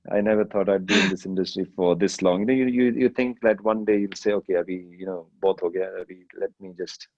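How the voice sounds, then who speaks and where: Man, middle-aged, from Kenya, low voice, relaxed interview talk, mixes in Hindi phrases, muffled lo-fi audio. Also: moderate pace, long pauses, dry recording. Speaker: rapper and singer. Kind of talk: casual interview answers.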